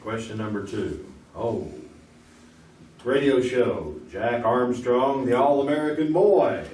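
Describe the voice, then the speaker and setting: A man's voice: mid-range voice, takes it slowly, darkish tone. A man hosting a quiz show with joking, slightly bumbling comic banter. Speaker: game show host. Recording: very noisy, echoey, distant stage microphone.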